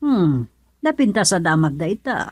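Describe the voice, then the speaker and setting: An actress playing a caring mother in a radio drama, gently questioning her son. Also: on the low side, big pitch swings, moderate pace, husky, dry recording. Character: caring middle-aged mother, curious.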